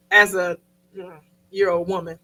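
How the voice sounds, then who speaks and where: A woman's voice: lowish voice, level tone, takes it slowly, a bit bright. A woman in her thirties co-hosting a casual talk show, slangy and playful, often mock-protesting. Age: 30s. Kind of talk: casual banter.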